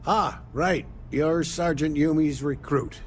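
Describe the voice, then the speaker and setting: An actor playing a composed male secret agent in a video game, dry, measured and slightly condescending. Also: fairly high pitch. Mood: businesslike. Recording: prominent music behind the voice.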